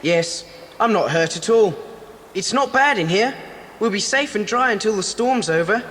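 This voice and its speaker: High-voiced, hearty boy leader. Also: expressive, moderate pace, fairly bright tone. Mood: reassuring. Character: brave, cheerful boy leader, protective and matter-of-fact. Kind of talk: children's audio adventure dramatisation. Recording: noisy, reverberant old cassette dramatisation.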